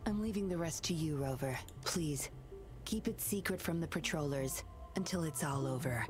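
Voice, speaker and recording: An actress voicing a composed young woman investigator who speaks in a calm, measured, slightly teasing way in a game. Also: deep voice, soft background music, close to an everyday voice.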